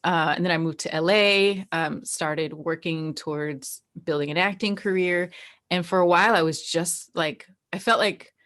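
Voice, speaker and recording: An American woman with a slightly low voice, speaking as an interview guest. She tells stories casually, sprinkling in "like" and repeating words for emphasis. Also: moderate pace, fairly bright tone. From New Jersey, United States. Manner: informal, peppered with "like" and emphatic repeats. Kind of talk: casual chat, personal storytelling.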